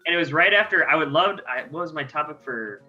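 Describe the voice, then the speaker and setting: A man's voice: fairly high pitch, lively intonation, fast talker, bright, forceful tone. A man chatting casually and informally as a podcast host, hesitantly searching for words. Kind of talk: casual talkback podcast chat. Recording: dull lo-fi sound, music behind.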